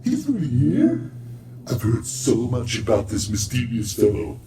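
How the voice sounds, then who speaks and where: Man acting an excitable grifter, wildly swooping pitch. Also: measured pace. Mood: starstruck. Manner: exclaiming, exaggerated. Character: excitable, starstruck grifter angling for investment. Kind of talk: radio play acting. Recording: echoey room, faint noise.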